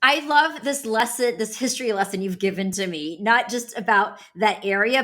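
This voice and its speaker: A woman's voice: medium pitch, fairly bright tone. A woman hosting an interview in a conversational, effusive and appreciative way. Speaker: interview host.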